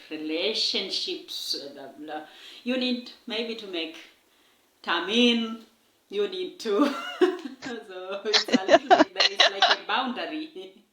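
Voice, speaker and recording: A woman's voice: mid-range voice, bright, forceful tone. A woman hosting a casual podcast chat, talking loosely and laughing often. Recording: echoey room.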